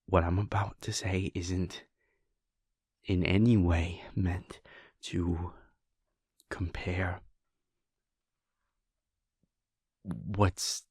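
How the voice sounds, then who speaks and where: A man voicing a caring male werewolf boyfriend in an audio roleplay, talking softly and earnestly, often half-whispered. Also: deep voice, husky, dry recording, clean studio sound, exaggerated voice.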